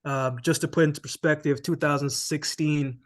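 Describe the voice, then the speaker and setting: A man from Jamaica, a former sprinter, telling his story as a guest in a casual interview, relaxed and tagging lines with "man" and "right?". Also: on the high side, moderate pace.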